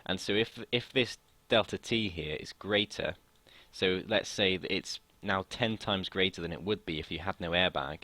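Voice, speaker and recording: A young man from the United Kingdom explaining a physics lesson in a casual way, his voice slightly croaky from long talking, often hesitating and restarting sentences. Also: low-pitched, moderate pace, few pauses, pushed and bright, no echo. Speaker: student tutor.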